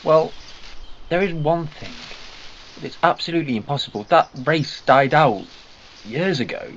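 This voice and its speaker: A man's voice: on the high side, lively intonation, unhurried, a bit bright, a little hiss or hum. A man playing a wry, eccentric time traveller who explains ancient threats. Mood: explaining. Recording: lo-fi fan audio drama.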